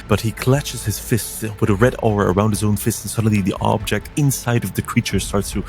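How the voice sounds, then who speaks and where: A man playing a tabletop game, narrating his character's actions haltingly with restarts. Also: lowish voice, steady tone, a little mellow. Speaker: player.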